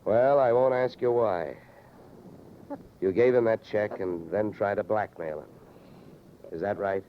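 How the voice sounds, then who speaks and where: A man's voice: fairly low pitch, a little slow, muffled recording, a little hiss or hum, natural voice. An American man playing a wisecracking insurance investigator in old radio detective drama style, clipped, sardonic and pushy. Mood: firm, urgent. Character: hardboiled, wisecracking male freelance insurance investigator. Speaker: lead actor and first-person narrator. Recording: vintage radio recording, music behind.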